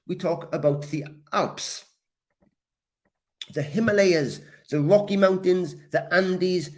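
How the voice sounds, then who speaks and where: A man from Wales teaching a live English class, deliberate and encouraging, repeating key words for learners as he responds to comments. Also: a little high. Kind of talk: live grammar lesson, reacting to comments.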